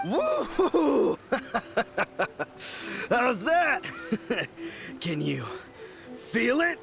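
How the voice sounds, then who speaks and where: Creaky, crazed macho braggart. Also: male voice, very high voice, wildly swooping pitch, music in the background, full character voice. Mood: manic, gloating. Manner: theatrical, drawn-out, taunting. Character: crazed, boastful possessed young man obsessed with manliness. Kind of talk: exaggerated video game voice acting.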